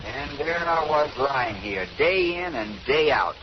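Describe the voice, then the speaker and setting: Charming, playful male romantic lead for vintage radio drama, medium pitch, muffled old broadcast with faint hiss. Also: animated, slow pace. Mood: charming, teasing. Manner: bantering, courting, playful. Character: charming, flirtatious scientist with bandaged eyes, jokey patient. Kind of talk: 1930s-40s radio drama acting.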